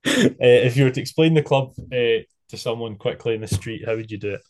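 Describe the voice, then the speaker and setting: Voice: medium pitch, brisk, darkish tone. A podcast host in their twenties, leading a casual group interview with self-deprecating banter. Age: 20s.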